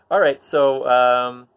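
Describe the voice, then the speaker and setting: A man speaks in a casual, halting way as he introduces dramatic readings. He has a medium-pitched voice and a self-deprecating manner, like a host chatting to his viewers. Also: smooth, dull audio.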